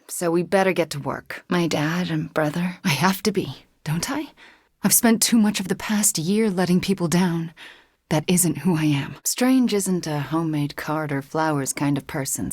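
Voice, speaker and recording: An actress voicing a young, guilt-ridden sorceress superhero in a video game, soft and breathy, earnest and measured with a wry edge. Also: female voice, on the low side, no echo, clean studio sound, lightly acted. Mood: earnest, troubled. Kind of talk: acted video game dialogue.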